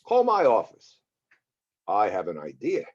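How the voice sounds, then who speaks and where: A man acting a smooth, wealthy Hollywood producer in his twenties at a drama table read, conversational and self-assured, on slightly dull audio. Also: lowish voice, wildly swooping pitch, a little slow, full character voice.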